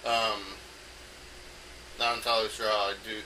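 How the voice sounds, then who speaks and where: Hesitant man, very sharp bright voice, distant mic. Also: lowish voice, flat delivery. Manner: hesitant, halting, thinking aloud. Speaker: amateur game designer recording an audio log. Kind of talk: unscripted rambling explanation. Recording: noisy home recording, distant from mic.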